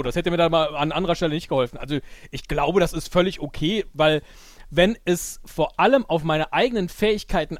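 Animated higher-voiced man chatting. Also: dry recording, studio quality. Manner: animated, exclamatory, acts out little quotes. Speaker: podcast guest and improv player. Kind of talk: casual chat, debriefing an improv audio game.

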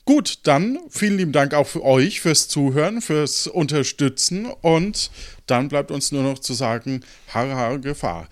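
A man's voice: on the high side, lively intonation, unhurried, dry recording, clean studio sound. A man hosting a casual group debrief, thinking aloud with a hesitant manner. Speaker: podcast host and game leader. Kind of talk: casual group debrief after an improvised audio play.

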